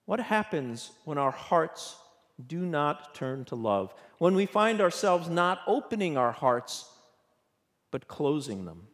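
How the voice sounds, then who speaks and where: An older man, a bishop, preaching a sermon from a pulpit in a church sanctuary, measured and questioning in tone. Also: on the high side, sing-song. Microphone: pulpit microphone. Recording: long church reverb.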